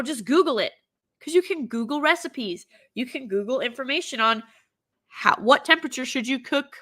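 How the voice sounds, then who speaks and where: Bright, stuffy-voiced woman host. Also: on the high side. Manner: animated, mocking impressions in asides. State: stuffy with a cold. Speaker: show host. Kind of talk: opinionated commentary.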